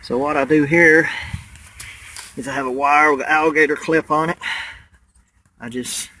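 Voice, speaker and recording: A plain-spoken man casually explaining a hobby setup in an unhurried way, outdoors behind a handheld camera. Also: fairly high pitch, fairly even intonation, sharp tone. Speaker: radio hobbyist. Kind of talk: casual home video walkthrough. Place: outdoors in a wooded yard by a house. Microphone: handheld camera, held by the speaker.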